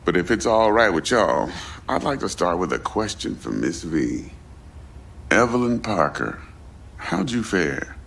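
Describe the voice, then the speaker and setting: An actor playing a big, slick crime fixer in a video game, gravelly voice and smooth, commanding manner. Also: male voice, low voice, big pitch swings, measured pace, a bit bright, a little hiss or hum, exaggerated voice. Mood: smooth, businesslike. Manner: smooth, commanding, folksy slang. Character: big, slick, street-smart male crime fixer boss. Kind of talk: dramatic video game acting.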